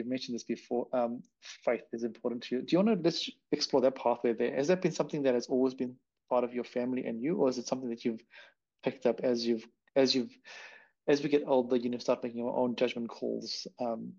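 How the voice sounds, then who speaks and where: Fast-talking gravelly male podcast host. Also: medium pitch, muffled recording. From Africa. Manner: rambling, repeats words, animated storytelling. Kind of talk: casual interview chat.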